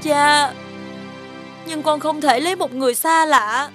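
An actress playing an earnest, defiant young princess in an animated fairy tale. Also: female voice, high voice, unhurried. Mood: defiant, pleading. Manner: earnest, protesting. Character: headstrong young princess, dutiful yet defiant daughter. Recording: clear music behind.

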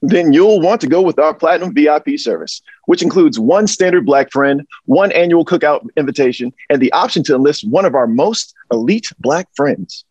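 A man in his thirties playing a slick, upbeat salesman delivering a theatrical pitch in a script read. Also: animated, normal speed, hardly any pauses, darkish tone, breathy edge, no room sound, muffled recording. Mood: upbeat, persuasive. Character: slick upbeat pitchman selling a satirical friendship service. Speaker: actor in a table read. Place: small indoor storeroom, video call.

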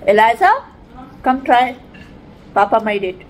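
A woman's voice: on the high side, unhurried, a little hiss or hum. A woman in her thirties from Fiji, a vlogger chatting with her family in a home kitchen, recorded on a handheld camera. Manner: chatty, switches between English and Fiji Hindi. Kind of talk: casual family vlog.

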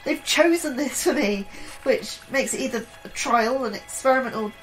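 A woman playing an anxious, higher-voiced scientist. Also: moderate pace, faint music behind, close to an everyday voice, rough recording. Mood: anxious, confiding. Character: anxious, troubled young woman scientist confiding her fears. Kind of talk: audio drama acting, diary-like monologue and dialogue.

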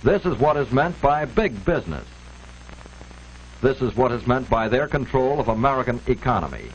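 A man's voice: mid-range voice, animated, moderate pace. A man delivering scripted 1940s-style documentary narration in a formal, emphatic way. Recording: dull, noisy old archival film soundtrack.

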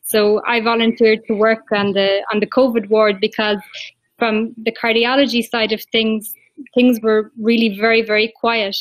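A woman's voice: a little high, dry recording, low-quality recording. A woman in her forties with an Irish accent, a cardiologist talking fluently on a video call, often repeating words as she searches for them. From Ireland. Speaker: interventional cardiologist. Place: small room indoors. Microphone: video call, laptop mic.

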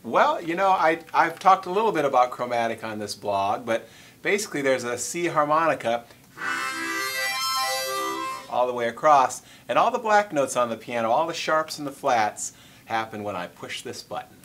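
A man's voice: medium pitch, lively intonation, moderate pace, over music. A middle-aged man hosting a harmonica lesson, explaining instruments in a casual, friendly way in a curtained convention room. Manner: friendly, explanatory, counts out rhythms. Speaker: host and harmonica player. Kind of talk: casual interview and demonstration.

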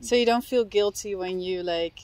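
Dutch-native woman host, 30s, friendly, mid pitch, recorded outdoors. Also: normal speed, darkish tone, clear, resonant tone. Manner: friendly, with frequent affirming murmurs. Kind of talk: casual interview. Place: park, seated at a picnic table outdoors.

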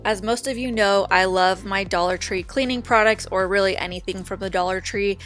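A young woman narrating her own home video in a chatty, relaxed voice-over, talking straight to her viewers. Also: mid-range voice. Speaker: vlogger. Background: faint background music.

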